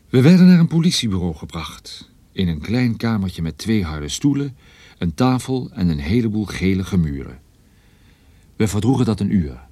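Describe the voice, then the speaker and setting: A private detective telling his own story in a radio play. His lowish voice swings widely in pitch with dry irony, recorded close and dry. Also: mellow, dry recording. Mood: matter-of-fact. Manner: dry, ironic, literary phrasing. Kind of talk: scripted first-person radio drama narration.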